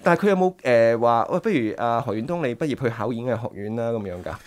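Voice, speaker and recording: Male radio interviewer, Hong Kong accent. Brisk and animated, with short, chatty prompts. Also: medium pitch. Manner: colloquial, prompting with short questions. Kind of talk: casual interview. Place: small radio studio. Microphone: desk studio microphone, speaking through face mask.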